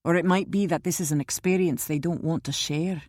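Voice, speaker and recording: A woman doing a calm, protective man. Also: low-pitched, dry recording, clean studio sound, lightly acted. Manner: measured, reassuring, grimly insistent. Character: calm, protective older man, steady and wry.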